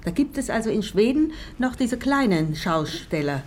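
A woman's voice: on the high side, sing-song, moderate pace, a little hiss or hum. A woman hosting a children's radio interview, speaking simply and a little ceremoniously, in an old archive recording.

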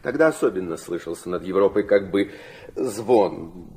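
An actor playing an anguished, idealistic middle-aged nobleman in a radio drama, confiding and philosophizing in a theatrical, halting manner. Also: low voice, expressive, a little mellow. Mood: wistful, visionary.

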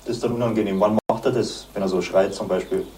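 A man interviews someone in an echoey space, putting short questions at a quick pace. His voice is on the low side. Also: steady tone, a little mellow. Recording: echoey, slightly noisy film soundtrack.

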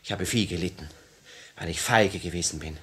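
An earnest revolutionary with a very low-pitched voice. He is solemn, heartfelt and devoted, declaiming in the style of 1950s German radio drama. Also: a little fast, a bit bright. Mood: fervent. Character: earnest, emotional male revolutionary, once fearful, now devoted.

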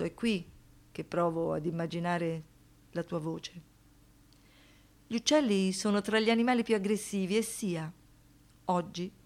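An actress playing a haunted, wounded woman in a poetic radio monologue, speaking with intense deliberation, dramatic pauses and rhetorical questions. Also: medium pitch, close to an everyday voice. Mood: pensive, tender. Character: haunted, wounded woman, lyrical, pleading and defiant.

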